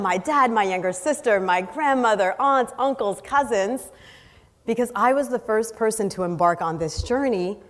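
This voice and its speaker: A woman born in Haiti giving an earnest, storytelling keynote speech on stage, listing her points emphatically. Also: medium pitch. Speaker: guest speaker. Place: large hall on stage. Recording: long hall reverb.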